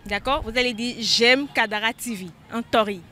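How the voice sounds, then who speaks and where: A high-voiced woman runs a street interview outdoors, keeping her questions brisk and chatty. Traffic noise from the busy street sits clearly behind her. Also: sharp tone. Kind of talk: vox-pop street interview.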